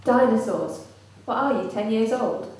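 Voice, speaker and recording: Actress as playful, wide-eyed girlfriend, high and unhurried, live story drama heard from far off in an echoey space. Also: animated. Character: Rebecca, teasing girlfriend enchanted by a wild crow. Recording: distant, very echoey hall, faint noise.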